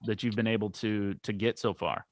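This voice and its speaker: A man's voice: lowish voice, fairly even intonation, brisk, dry recording. Podcast host guy, chatty, lots of okay and very cool, dry mic. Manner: friendly, chatty questions, many affirmations. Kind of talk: casual podcast interview.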